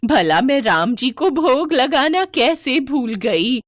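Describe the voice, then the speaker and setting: A woman voicing a grumpy, stingy, devout old village woman who scolds and exclaims in an animated moral story. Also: high-pitched, slow, no echo, studio quality, voice pushed up, exaggerated voice. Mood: alarmed, devout.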